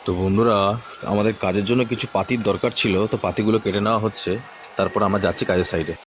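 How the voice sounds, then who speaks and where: A man's voice: lowish voice, fairly even intonation, rapid-fire. A man giving casual daily vlog narration, speaking to his viewers as he goes about his day. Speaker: vlogger. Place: on location at home, roads and work sites. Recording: clear vehicle and outdoor noise.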